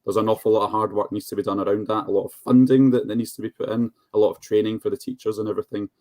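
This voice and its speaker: Low-voiced man on a panel. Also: flat delivery, rapid-fire, a little mellow, dry recording, studio quality. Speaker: charity representative on a panel. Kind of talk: panel discussion.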